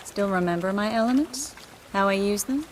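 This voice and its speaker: An actress playing a playful, self-assured young sorceress in a video game, with a teasing manner. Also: female voice, mid-range voice, lively intonation, normal speed, a little hiss or hum, close to an everyday voice. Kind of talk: video game dialogue acting.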